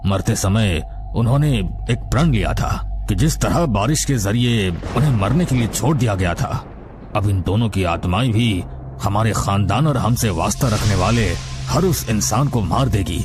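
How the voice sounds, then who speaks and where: A man narrating an animated horror story in a scripted storytelling style. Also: lowish voice, normal speed, music in the background.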